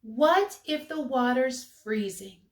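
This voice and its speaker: A woman's voice: sits high, animated, takes it slowly, roomy sound, full character voice. A woman voicing an eager, childlike little boy penguin for a storybook read-aloud. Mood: worried.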